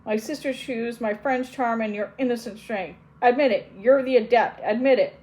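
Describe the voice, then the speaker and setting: A bitter, cornered witch, put on by a woman reading aloud spits her accusations in a slightly raised, forceful voice. She sounds scornful and intense, pressing hard on every charge. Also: a little high, moderate pace, natural voice, low-quality recording. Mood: accusing.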